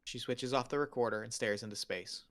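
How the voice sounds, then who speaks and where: A man's voice: medium pitch, fairly quick, fairly bright tone, dry recording, studio quality. A man reading screenplay stage directions aloud as dramatic narration at a table read.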